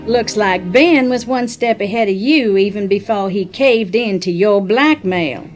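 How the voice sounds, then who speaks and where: A woman acts out a defiant oil-business rival in an audio drama. She speaks slowly and deliberately, with taunting, cutting delivery over faint music. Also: medium pitch, lightly acted. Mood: triumphant.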